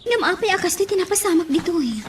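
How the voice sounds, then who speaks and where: A woman's voice: very high-pitched, big pitch swings, fast, a little hiss or hum. An actress playing a concerned young woman in a radio drama, with music playing behind her. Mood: worried.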